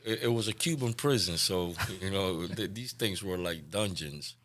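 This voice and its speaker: Deep, sharp-edged Cuban-born man, 40s, candid podcast guest. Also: moderate pace. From Cuba. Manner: candid, soft-spoken, trails off quietly. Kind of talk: recovery podcast interview.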